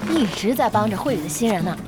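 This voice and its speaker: A woman's voice: medium pitch, lively intonation, quick pace, lightly acted. A woman acting in an audio drama as a chummy, perky young gamer, curious and a little impatient. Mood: cheerful, proud. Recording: studio drama with music behind.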